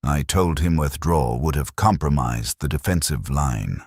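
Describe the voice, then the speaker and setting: A man narrating a scripted first-person war story in short, clipped sentences with a grave, measured delivery. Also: very deep voice, expressive, a little mellow, slightly rough, no room sound, clean studio sound.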